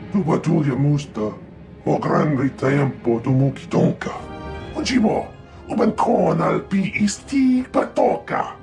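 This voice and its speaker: A man voicing a shifty alien gangster who babbles excitedly in an invented tongue, for a video game. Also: fairly high pitch, a little slow, soft background music. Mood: agitated. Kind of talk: video game cutscene voice acting.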